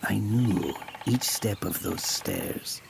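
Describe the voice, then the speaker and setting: An actor playing a brooding village man who tells of his past in a measured, theatrical audio drama. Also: lowish voice, huge pitch swings, creaky, background noise, full character voice. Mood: haunted, wistful. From southern Italy. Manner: slow, measured, theatrical, with pauses. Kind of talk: dramatic first-person audio drama narration.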